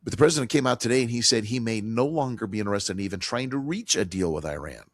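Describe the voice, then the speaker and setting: A man hosting a financial talk radio show, hoarse from a cold, talking emphatically with fillers and repeated phrases. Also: lowish voice, expressive, fast talker, no room sound, studio quality. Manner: emphatic, repetitive, conversational with fillers.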